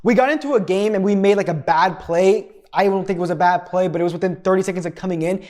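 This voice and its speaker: A man's voice: high voice, quick pace, darkish tone. A man in his twenties from the United States, narrating his own life story in a blunt, candid, scripted way as a fitness influencer. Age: 20s.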